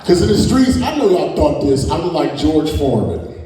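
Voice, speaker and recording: A man's voice: fairly high pitch, sing-song, moderate pace. A man in his forties doing a crude, brash stand-up set with the crowd. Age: 40s. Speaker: stand-up comedian. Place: comedy venue stage. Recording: echoey hall, mic off at a distance, loud crowd. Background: audience laughter.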